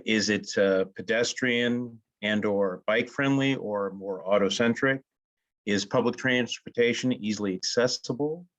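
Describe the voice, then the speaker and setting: A man presenting slides in an online meeting, semi-scripted, with hesitant, frequent pauses. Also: on the low side, low-quality recording. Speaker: co-host, presenter.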